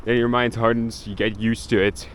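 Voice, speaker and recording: A man's voice: fairly low pitch, flat delivery, moderate pace, fairly bright tone. A young man from Belgium, rambling casually as he vlogs his travels, swearing now and then. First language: Dutch. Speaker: travel vlogger. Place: outdoors in a city street. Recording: faint street noise outdoors.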